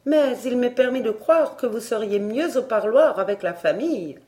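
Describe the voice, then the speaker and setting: A woman's voice: fairly high pitch, expressive, a little slow, a little mellow, voice pushed up. A woman voicing a sour, indignant, paranoid governess in a storybook, with a theatrical, scolding manner. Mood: sour, scornful.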